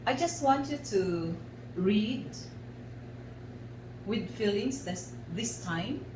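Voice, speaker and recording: Very slow-talking Filipino woman instructor. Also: medium pitch, expressive. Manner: deliberate, speaking in short separated phrases with pauses. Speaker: facilitator leading a guided art exercise. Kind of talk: slow guided instruction for a radio lesson. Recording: echoey room, clear background noise.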